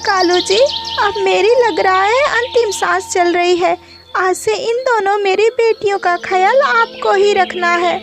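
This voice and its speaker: A woman's voice: very high-pitched, unhurried, music in the background, pitched above the performer's own voice. A woman voicing an anxious, devoted mother songbird in a cartoon moral story, with a tender, pleading manner.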